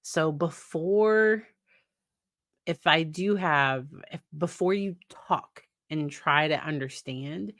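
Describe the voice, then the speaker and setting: Deep-voiced woman psychologist, conversational. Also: slow pace, no room sound, clean studio sound. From United States. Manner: conversational, warm laughs, acts out quoted dialogue in a higher voice. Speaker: licensed psychologist, host. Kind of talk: casual educational talk to camera.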